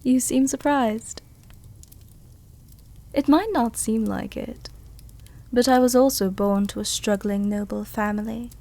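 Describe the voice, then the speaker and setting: A woman playing a seductive, protective vampire countess in an intimate ASMR roleplay, speaking tenderly and teasingly with a soothing, soft-dominant manner. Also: medium pitch. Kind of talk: intimate ASMR roleplay, scripted character acting.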